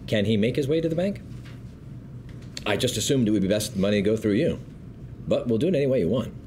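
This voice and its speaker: A man playing a smooth, condescending law firm partner at a script read, polished and measured. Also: on the low side, lively intonation, a little mellow, slight background noise, close to an everyday voice. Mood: courteous, guarded. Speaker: actor at a script table read. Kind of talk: acted script read at a table.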